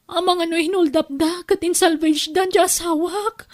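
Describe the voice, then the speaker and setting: A woman's voice: extremely high pitch, steady tone, normal speed. A woman with an Ilocano accent playing a trusting, hardworking older sister who is heartbroken and deceived, in an emotive radio drama. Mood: anxious, upset. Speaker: actress in an audio drama.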